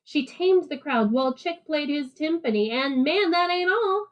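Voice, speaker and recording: A woman's voice: high voice, lively intonation, moderate pace, highs cut off. A woman voicing a streetwise, jazz-loving alley cat who narrates a picture book in rhythmic, playful slang. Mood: swinging, enthusiastic. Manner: slangy, jive-talking, rhythmic, playful emphasis.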